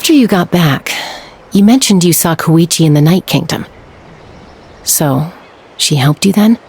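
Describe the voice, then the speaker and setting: A woman playing a subdued, regretful older sister in a video game, reflective and gentle, with noise behind her. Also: fairly low pitch, lively intonation, a little slow. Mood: resigned, grateful. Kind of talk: video game cutscene acting.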